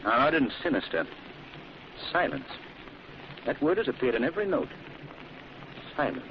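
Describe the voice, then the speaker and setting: An actor playing a grave, thoughtful gentleman sleuth in an old radio drama, brooding and deliberate. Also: medium pitch, sing-song. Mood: pondering, intent. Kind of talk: 1940s radio acting. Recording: muffled old radio recording, faint noise.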